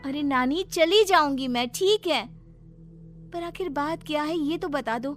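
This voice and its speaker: Woman acting a high-pitched, pleading young granddaughter. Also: unhurried, a bit bright, faint music behind. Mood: puzzled pleading. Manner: pleading, questioning, emotional. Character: frightened, insistent young granddaughter, pleading and curious.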